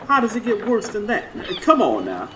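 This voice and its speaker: A sassy, blunt Southern skeptic in a radio drama, wry and teasing. The voice is on the high side and swoops with animation, with background noise in the live recording. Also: male voice, huge pitch swings, normal speed, fairly bright tone, exaggerated voice. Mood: skeptical, sassy. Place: stage before a live studio audience.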